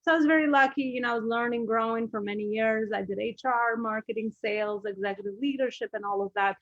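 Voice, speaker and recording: A woman's voice: fairly high pitch, quick pace, a bit bright, no room sound, dull audio. A middle-aged woman with an Albanian accent, a business coach telling her story as a podcast guest, chatty with many fillers and restarts.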